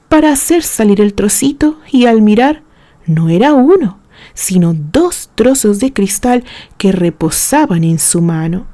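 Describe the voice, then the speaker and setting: A woman reads a romantic story aloud as a narrator, expressive and deliberate, with dramatic pauses. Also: mid-range voice, no echo.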